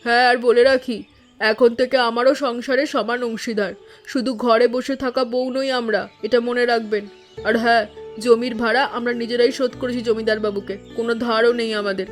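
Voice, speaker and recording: A woman plays a devoted village housewife in a cartoon family drama. Her voice is fairly high and she speaks with earnest emphasis, over a music bed. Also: steady tone, a little fast, natural voice. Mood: proud, firm. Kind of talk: Bengali animated family story dialogue.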